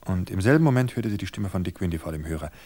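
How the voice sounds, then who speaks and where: A man reading a suspense story aloud in a measured, tense narration. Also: deep voice, animated, warm tone.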